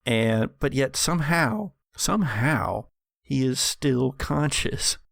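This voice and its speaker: An American man runs a tabletop game at an unhurried pace, describing scenes and ruling on the action. His mid-pitched voice has a creaky edge, with deliberate pauses between phrases. It is recorded dry and close. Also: lively intonation, fairly bright tone, dry recording. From United States. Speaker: game master. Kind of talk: tabletop game narration and rulings for an actual-play podcast. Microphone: close studio microphone.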